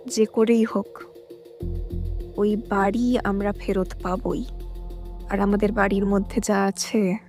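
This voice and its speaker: Woman acting a confident high-voiced young woman. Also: a little mellow, close to an everyday voice. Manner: assured, decisive. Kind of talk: audio drama acting.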